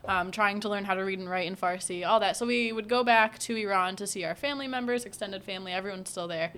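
A young woman telling personal stories in a casual chat, animated and laughing often. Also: mid-range voice, fast talker. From United States, Iranian parents.

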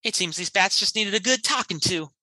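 A woman's voice: mid-range voice, expressive, fairly quick, sharp tone. A woman playing a folksy, exasperated person plagued by bats in the head, in an improvised spoof radio commercial. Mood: folksy, distracted. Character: frantic bat-plagued folksy sufferer scolding bats in head.

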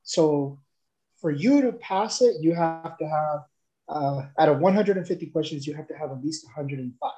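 A man's voice: on the high side, moderate pace, dark tone, highs cut off. A young man from El Salvador giving a casual, rambling testimonial, full of fillers and restarts.

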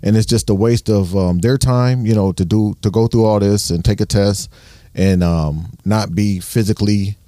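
Ohio-accented male officer, low and mellow, hesitant and plain. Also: steady tone, moderate pace, no room sound, clean studio sound. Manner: hesitant, lots of ums, matter-of-fact. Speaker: police officer, recruiter. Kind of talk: interview answers.

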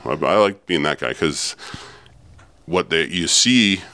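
Man, very deep voice, rambling restarts, casual podcast talk, smooth resonant tone. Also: lively intonation, sharp tone, dry recording. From Akwesasne. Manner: rambling, halting, many restarts. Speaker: podcast guest, former bouncer.

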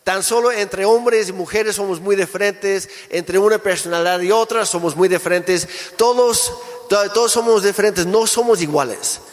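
High-voiced man preaching in an echoey hall. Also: normal speed. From Canada. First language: English. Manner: relaxed, joking, with restarts. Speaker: pastor. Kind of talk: sermon. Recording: echoey hall with long reverb.

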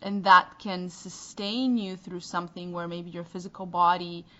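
A woman's voice: fairly low pitch, steady tone, normal speed, fairly bright tone, muffled recording. A woman with a Bulgarian accent, a native Bulgarian speaker, hosting a podcast interview and searching thoughtfully for her words. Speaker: podcast host.

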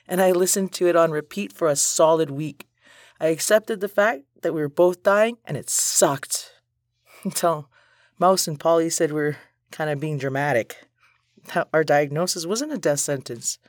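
A woman's voice: deep voice, no echo, clean studio sound, close to an everyday voice. Blunt, prickly young woman, played by an actress. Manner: blunt, sarcastic. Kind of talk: audio drama acting.

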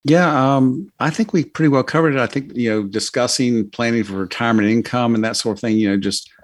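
A man's voice: medium pitch, brisk. An older man giving financial advice as a podcast guest, in a casual, earnest, folksy and loosely phrased way.

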